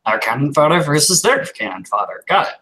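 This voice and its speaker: A man speaking in character in a tabletop roleplay, making dry, deadpan quips. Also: on the high side, fairly quick, a little hiss or hum.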